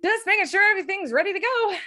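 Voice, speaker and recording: A woman's voice: extremely high pitch, lively intonation, rapid-fire, bright, forceful tone, heavily acted. A woman reading casual character dialogue as a cast member in an amateur table read. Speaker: cast reader.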